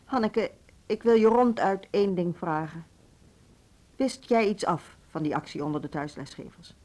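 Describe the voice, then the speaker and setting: A woman's voice: on the low side, normal speed, a little mellow, natural voice. An actress playing a proud, suspicious woman in authority in a naturalistic radio drama, speaking in an articulate, pointed way.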